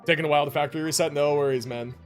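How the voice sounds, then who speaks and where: A man's voice: a little high, steady tone, very fast, soft background music. A man casually commentating a live game stream, chatting with viewers in rambling, informal gamer slang.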